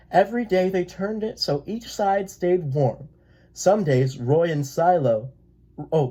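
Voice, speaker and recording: A person reading a children's picture book aloud in a gentle, expressive way. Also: medium pitch, rough recording. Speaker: read-aloud storyteller.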